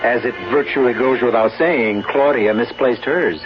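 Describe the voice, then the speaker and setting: A man hosting a radio mystery with measured, ominous scripted narration on a muffled old recording. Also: mid-range voice. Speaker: host and narrator. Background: loud music.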